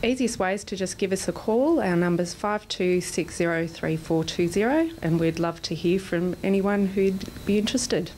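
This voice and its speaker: A woman's voice: lowish voice, moderate pace, hardly any pauses, darkish tone, rough recording. A woman answering interview questions as a trial coordinator. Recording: slight background location noise.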